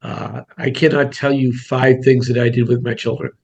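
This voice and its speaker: Gravelly older man coaching in a webinar. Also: medium pitch, fairly even intonation, normal speed, a little mellow, highs cut off. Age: 60s. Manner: blunt, conversational, self-deprecating. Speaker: real estate coach. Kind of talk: webinar presentation.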